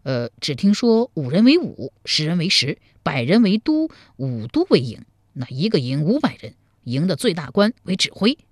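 A woman's voice: sits low, big pitch swings, normal speed, dry recording, studio quality. A woman voicing a sly, teasing young suitor in a storybook, wheedling and mock-meek. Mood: casual curiosity. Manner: teasing, wheedling, laid-back. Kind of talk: dramatized storybook dialogue.

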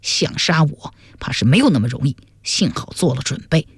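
A stern middle-aged king played by a man in a historical audiobook drama. His voice is husky and swings widely as he persuades and commands like a scheming father, recorded close and dry. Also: a little high, animated, normal speed, dry recording. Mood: suspicious.

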